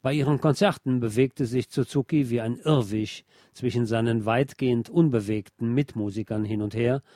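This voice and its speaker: A man reading a scripted music feature as a radio presenter, in a measured, articulate, literary manner. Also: mid-range voice, mellow, dry recording, clean studio sound. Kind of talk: scripted narration.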